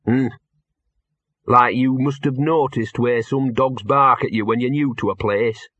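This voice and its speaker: A man's voice: medium pitch, steady tone, unhurried, a bit bright, breathy edge, no room sound, highs cut off. A man voicing a mournful, know-it-all talking dog in an audiobook, muttering and whining in colloquial speech. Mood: explaining, exasperated. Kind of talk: audiobook character voice.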